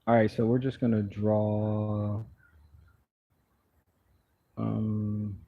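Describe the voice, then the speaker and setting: A man talking slowly and calmly in a monotone, chatting relaxed encouragement while he draws on a live stream. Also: fairly low pitch, vocal fry, phone-quality sound. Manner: slow, calm, monotone. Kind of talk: relaxed motivational chat while drawing. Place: outdoors.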